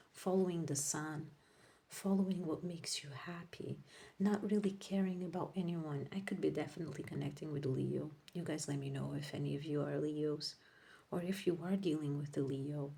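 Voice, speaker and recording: A woman whose first language is Portuguese, giving a casual tarot reading to viewers, rambling and correcting herself, often dropping into soft whispers. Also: low voice. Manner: drifts into soft whispers while laying cards. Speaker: tarot reader.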